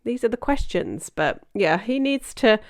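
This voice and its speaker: A woman's voice: on the high side, animated, a little slow, no echo, clean studio sound. A woman in her forties from Britain, hosting a reaction video and giving blunt, opinionated commentary with the odd swear. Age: 40s. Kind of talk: casual commentary over clips.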